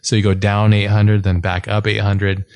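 Casting: low-pitched, upbeat host from Hawaii, slangy and swearing, dry close mic. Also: male voice, little pitch movement, moderate pace, smooth, no echo. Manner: enthusiastic, swears, surfer-style slang. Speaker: podcast host. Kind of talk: casual chat.

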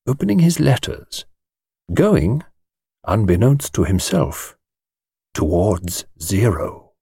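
A man voicing a precise, fussy elderly gentleman in an audiobook, with an English accent and deliberate, old-fashioned diction. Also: lowish voice, creaky, breathy edge, no room sound, studio quality, full character voice. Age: older. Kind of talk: audiobook character voice.

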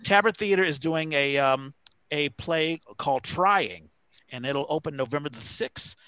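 A man co-hosting a casual talk show, reading out shout-outs and announcements in a halting way as he searches for details. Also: on the high side, clean tone, no echo. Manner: halting, pausing to find names and details. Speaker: podcast co-host.

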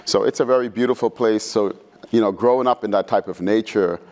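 A middle-aged man from Trinidad who later lived in New York, answering interview questions in an informal, halting, thoughtful way. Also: on the low side, fairly even intonation, a little mellow. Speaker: guest speaker, physics professor. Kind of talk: conversational interview answers, reminiscing.

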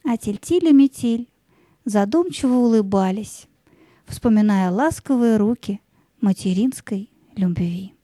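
A woman narrating a scripted fairy tale in a gentle, lyrical storytelling manner. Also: medium pitch, animated, measured pace, no echo.

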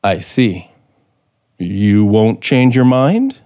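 Embittered American radio scriptwriter, 1950s thriller; deliberate, slow, animated intonation, old broadcast sound. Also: male voice, on the low side, full character voice. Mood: pleading, restrained. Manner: theatrical, sardonic, deliberate. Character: bitter, menacing frustrated male radio scriptwriter plotting murder. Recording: vintage 1950s radio recording with music.

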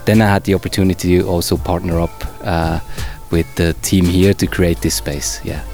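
Dj guy on a radio interview, lowish voice, friendly, halting, faint music. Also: steady tone, creaky, a little mellow. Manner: friendly, hesitant, with fillers and restarts. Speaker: DJ and bar co-owner, guest. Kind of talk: interview conversation.

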